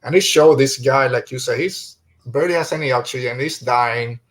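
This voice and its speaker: A man's voice: medium pitch, normal speed, a bit bright. A man hosting a casual podcast review, retelling things in an informal, rambling way. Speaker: podcast host.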